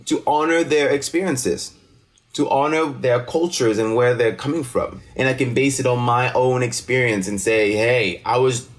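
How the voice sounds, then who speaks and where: A man from Cameroon, a non-native English speaker, telling personal stories expressively and emphatically as a teacher being interviewed. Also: mid-range voice. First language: not English. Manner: expressive, emphatic, often checks with "right?". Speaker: teacher and actor, interview guest.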